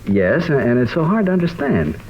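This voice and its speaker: A man playing an earnest, troubled American high school teacher in a mid-century radio drama, explaining things hesitantly. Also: huge pitch swings, a little fast. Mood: troubled, dismayed. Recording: dull, lightly noisy old radio recording.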